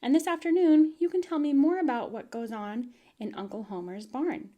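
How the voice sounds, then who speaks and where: A woman's voice: sits high, fairly even intonation, normal speed, mellow, dull audio, pitched above the performer's own voice, lightly acted. A woman reading as a fretful farm mother. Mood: worried. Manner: fretful, anxious questions. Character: worried farm mother, anxious and proper.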